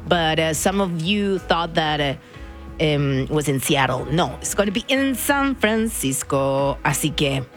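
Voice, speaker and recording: A woman's voice: sits low, animated, measured pace, soft background music. Argentine woman DJ chatting on radio. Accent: Argentine Spanish. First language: Spanish. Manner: chatty, slips into Spanish. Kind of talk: casual radio DJ chat.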